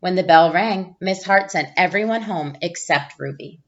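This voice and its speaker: A woman reading a children's picture book aloud like a teacher, with expressive storytelling emphasis. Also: fairly low pitch, moderate pace, dull audio. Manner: animated character voices, clear storytelling emphasis.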